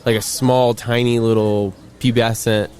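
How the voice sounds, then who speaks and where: Man, twenties, podcast host, hesitant, lots of fillers, mid pitch, laid-back chat. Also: little pitch movement, unhurried, a little mellow, faint music behind. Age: 20s. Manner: hesitant, filler-heavy, laughs easily. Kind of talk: casual chat. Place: outdoors by a racetrack.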